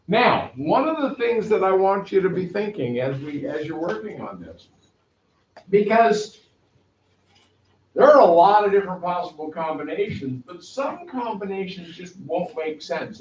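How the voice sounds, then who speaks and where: A man teaching a math class, giving loud, direct, brisk instructions to his students. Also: high-pitched, a little hiss or hum. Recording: echoey classroom, dull lo-fi sound.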